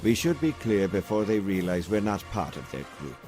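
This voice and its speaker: Low, measured space admiral, calm and calculating man acting. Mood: composed. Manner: measured, formal, composed. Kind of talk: scripted comic dub acting. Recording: music under the dialogue.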